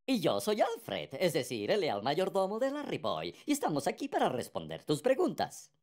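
A man's voice: fairly high pitch, huge pitch swings, moderate pace, noisy background. A man voicing a loyal, fussy cartoon asparagus butler in Latin American Spanish, proper, wordy and precise. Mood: formal, explanatory. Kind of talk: dubbed cartoon acting.

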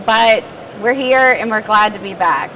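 A woman's voice: medium pitch, measured pace. A woman who manages an expo, answering interview questions in an enthusiastic, promotional way on a convention floor. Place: convention hall floor, indoors. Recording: distant mic with faint hall noise.

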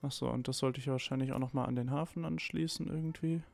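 A man's voice: mid-range voice, fairly even intonation, quick pace, creaky, a little mellow, faint music behind. A man casually chatting while playing a strategy game, thinking aloud with many restarts, a little under the weather. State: slightly ill, a bit hoarse. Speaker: let's play co-host. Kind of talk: casual gameplay chat.

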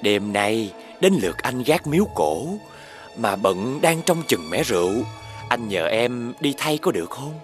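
A man playing a sly, wheedling older sworn brother in an animated fairy tale, with a southern Vietnamese accent and an ingratiating, theatrical manner. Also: medium pitch, unhurried, faint music behind. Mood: coaxing.

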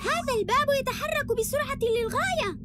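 A woman's voice: very high voice, lively intonation, a little fast. A woman voicing an eager, decisive little girl superhero who gives urgent instructions in a cartoon game. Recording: loud game music behind.

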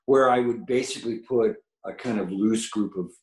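Older man, baritone, roomy video call. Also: moderate pace. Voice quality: low baritone voice. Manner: rambling, enthusiastic, many fillers. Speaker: guest musician. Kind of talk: casual interview chat. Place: home room with painted mural, video call. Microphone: video call webcam.

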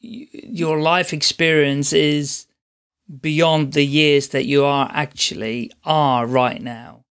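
Higher-voiced male podcast host, encouraging. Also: fairly even intonation, a bit bright, smooth. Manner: encouraging, measured, many fillers. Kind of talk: interview.

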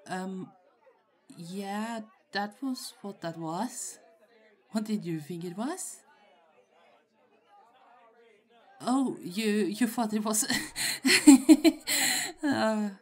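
A young woman playing a shy, friendly college student in an ASMR roleplay, speaking in a hesitant, halting, giggly way. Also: mid-range voice, animated. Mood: amused. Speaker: voice actor in roleplay audio. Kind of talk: ASMR roleplay, acted casual conversation. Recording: faint crowd chatter behind. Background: party crowd murmur.

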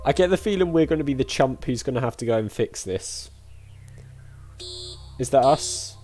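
A man's voice: mid-range voice, expressive, normal speed, a little mellow. Chatty man doing game commentary. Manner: chatty, reacting aloud. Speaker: gaming video host. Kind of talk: casual let's play commentary. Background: game music.

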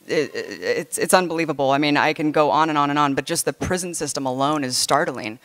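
A woman journalist speaking earnestly and indignantly on a panel, often restarting her sentences. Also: low-pitched. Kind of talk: panel discussion talk. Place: large hall stage. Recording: hall reverb, far off.

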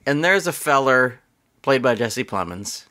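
Lively, bright-voiced podcast man. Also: mid-range voice, measured pace. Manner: animated, jokey, drifts into silly bits. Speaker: podcast co-host. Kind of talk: casual movie review chat. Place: home room. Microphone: studio mic with headphones.

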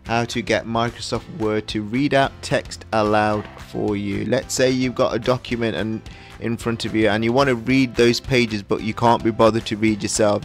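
A man narrating a software tutorial in an instructional, step-by-step way. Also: on the low side. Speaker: tutorial host.

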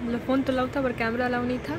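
A woman's voice: fairly high pitch, level tone, racing. A young woman from Bihar, India, talking casually to viewers as a travel vlogger. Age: young adult. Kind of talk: casual vlog narration to viewers. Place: mostly outdoors in a city. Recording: loud outdoor street and traffic noise.

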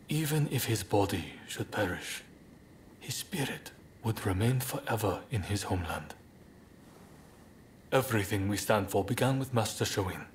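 An actor playing an earnest samurai rebel leader in a game cutscene, speaking in a polite, measured, formal way. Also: male voice, on the low side, a little hiss or hum, close to an everyday voice. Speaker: video game character actor. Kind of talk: dramatic game cutscene acting.

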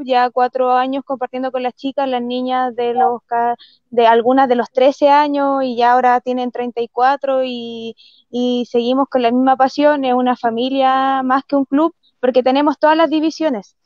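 A woman's voice: high voice, darkish tone, no room sound. A woman from Chile with a Chilean accent, chatting casually as an interviewed footballer over a video call. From San Antonio, Chile. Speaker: guest footballer. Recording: dull, lo-fi video call audio.

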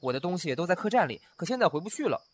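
A man's voice: high-pitched, very fast, slight background noise. A man voicing a kind, flustered young scholar in a costume radio drama, chatty and fretful. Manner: chatty, animated, fretful inner asides. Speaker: voice actor.

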